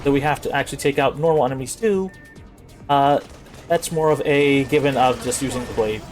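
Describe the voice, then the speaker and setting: A man's voice: mid-range voice, a little fast, darkish tone. A man giving casual, rambling, explanatory live commentary as he speedruns a video game. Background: video game music and sounds.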